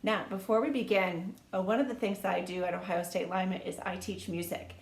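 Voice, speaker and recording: A woman reading a children's storybook aloud in an expressive, sing-song storytime manner, speaking to young listeners like a teacher. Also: mid-range voice, brisk. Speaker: music teacher reading a picture book to children. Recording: echoey room.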